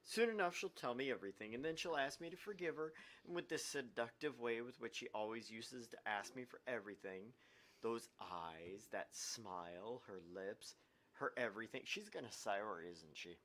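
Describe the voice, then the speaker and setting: A man's voice: medium pitch, lively intonation, normal speed, a bit bright, lightly acted. A man voicing an anguished, obsessive, vindictive young man in a dramatic read-aloud of a visual novel. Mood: scheming.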